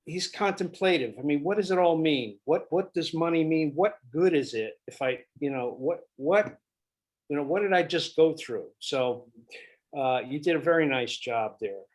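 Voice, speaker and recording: A man giving casual directing notes to actors, thinking aloud and often repeating himself in a halting way. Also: on the high side, expressive. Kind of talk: casual spoken feedback.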